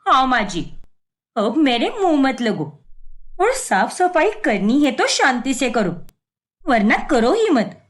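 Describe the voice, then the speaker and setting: A woman voicing a hot-tempered young working daughter-in-law in a melodramatic cartoon, sharp-tongued and sarcastic. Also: high voice, sing-song, slow pace, full character voice. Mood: angry, exasperated.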